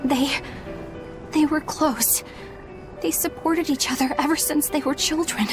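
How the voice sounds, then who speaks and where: Measured young companion, high lively pitch, slow speech, music behind. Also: female voice. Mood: sober. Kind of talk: video game voice acting. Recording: game audio over music score.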